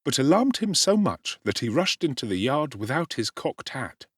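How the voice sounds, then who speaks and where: A man narrating a classic novel as a theatrical, highly expressive audiobook performance. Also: moderate pace, slightly rough, no room sound. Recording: dry close studio sound.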